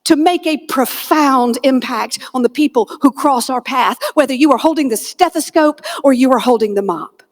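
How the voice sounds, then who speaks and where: A woman with a Southern US accent, from Georgia, gives a humorous motivational keynote on a stage mic, telling stories with dramatic pauses and near-whispered punchlines. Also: sits high. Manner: dramatic storyteller, drops to a near whisper on key lines, comic asides. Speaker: motivational keynote speaker and comedian. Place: conference hall stage. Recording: long reverb of a large hall.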